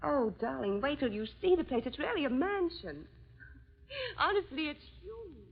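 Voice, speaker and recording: A woman's voice: high voice, animated, normal speed, gravelly. Lilting, affectionate society woman. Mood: bright, sociable. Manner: gushing, refined. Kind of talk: 1940s radio drama acting. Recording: muffled vintage radio recording, music behind.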